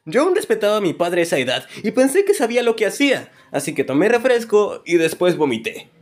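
A man tells comic stories as the narrator of an animated video. His voice sits high for a man and swings widely in pitch. The delivery is expressive and playfully exaggerated. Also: fast talker, faint music behind. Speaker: narrator of a fan-dubbed animated story video. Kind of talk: animated comedic storytelling narration.

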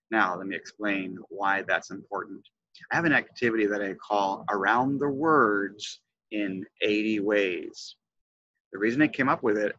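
Bright-voiced middle-aged man teaching, low pitch. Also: no room sound, highs cut off. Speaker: teacher trainer presenting a lesson. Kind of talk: instructional lesson explanation. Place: indoors, webcam presentation.

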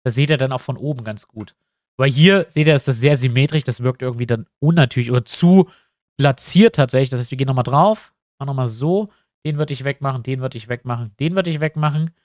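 A man's voice: medium pitch, quick pace, a little mellow, no echo, clean studio sound. A man with a stuffy nose, chatting casually to his viewers as he thinks aloud through building a map in a tutorial. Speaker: YouTuber building a tabletop map. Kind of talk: casual screen-recorded tutorial.

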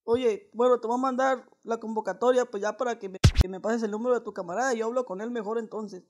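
A man in his twenties with a Mexican accent makes a casual prank call. He is slangy and coaxing, piling on mock earnestness as he makes his pitch. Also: fairly even intonation, quick pace, highs cut off, over music. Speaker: prank caller. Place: indoors, small living room.

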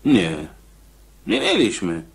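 An actor playing a streetwise, dismissive criminal in a prison radio drama, talking in an offhand, brusque way. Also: male voice, mid-range voice, huge pitch swings, slow, gravelly, full character voice. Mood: matter-of-fact.

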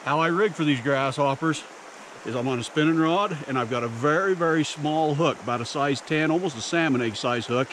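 A man's voice: a little high, brisk, a bit bright, a little hiss or hum. A middle-aged American man chatting casually and folksily to camera, as an outdoor fishing host. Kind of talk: casual explaining and chat to camera. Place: outdoors by a creek and meadow.

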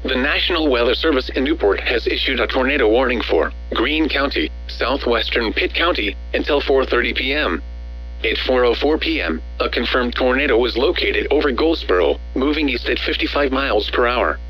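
A man's synthetic-sounding voice reading scripted emergency weather bulletins as a radio announcer, in a measured, formal, automated delivery. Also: mid-range voice, animated, fairly bright tone, soft background music, low-quality recording.

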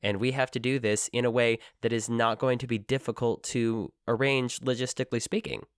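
A man co-hosting a book-club podcast, chatting in a playful, animated way. His voice sounds worn out but still smooth. He is recorded close up in a dry room. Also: medium pitch, fairly quick, dry recording. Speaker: podcast co-host. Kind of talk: casual book-club chat.

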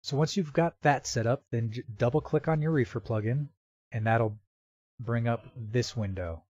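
A man with a mid-pitched voice talks through a software tutorial casually and a bit haltingly, working it out step by step. The recording is dry and close, with a slightly dull, lo-fi home microphone sound. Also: no echo. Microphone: computer microphone with real-time noise removal.

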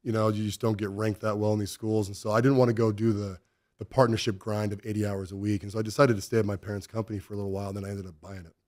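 A man's voice: fairly low pitch, little pitch movement, racing, darkish tone. A man in his forties with an American accent, talking bluntly and emphatically as an interview guest, close on a studio mic. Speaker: podcast guest, business owner. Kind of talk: conversational interview. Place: indoor podcast studio. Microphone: close studio mic on boom arm.

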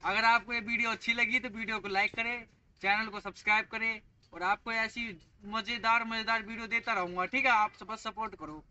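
A man's voice: high voice, steady tone, brisk, pushed and bright, clear, resonant tone, lo-fi sound. A young man from India chatting playfully to viewers while trying out outdoor gym machines in a park, recorded on a handheld phone. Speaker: vlogger. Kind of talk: casual vlog chat. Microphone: handheld phone camera, moving around.

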